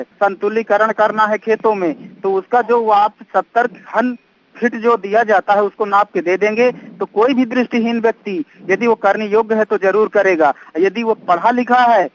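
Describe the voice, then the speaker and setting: A man from northern India, giving a first-person account as an interviewee. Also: sits high. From Kaushambi, Uttar Pradesh, India. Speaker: interviewee telling his own experience. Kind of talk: spoken first-person testimony. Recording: dull, lo-fi radio-like recording.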